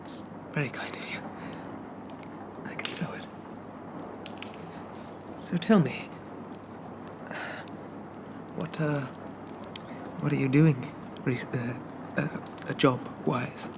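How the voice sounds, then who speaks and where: A man playing a shy, polite young zombie from a bygone era in a soft-spoken ASMR roleplay, stammering and apologizing in old-fashioned phrases. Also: medium pitch, steady tone, slow pace, long pauses, noisy background. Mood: flustered.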